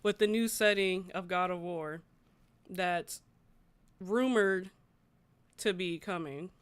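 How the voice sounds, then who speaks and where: A woman's voice: fairly low pitch, slow pace, smooth, no echo. A woman hosting a gaming livestream, chatting informally with viewers and reading news aloud in a conversational way. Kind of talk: casual livestream chat, reading articles aloud and reacting.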